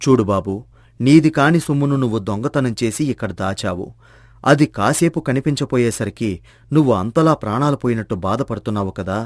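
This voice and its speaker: A man playing a calm hermit sage in a moral storybook tale, soft-spoken and measured as he gently admonishes. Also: mid-range voice, no room sound, clean studio sound, close to an everyday voice. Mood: gentle reproach.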